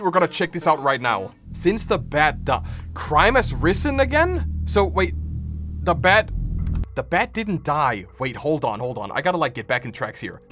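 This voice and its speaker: A young man gives casual reaction commentary on a stream. His voice is high for a man, with an exclamatory, rambling delivery. Faint music sits behind him. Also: fairly bright tone.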